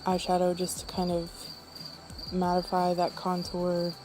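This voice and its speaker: An unwell woman with throat trouble giving a casual makeup tutorial voice-over in a low-energy, subdued manner. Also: monotone, unhurried, over music. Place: voice-over recorded apart from the footage.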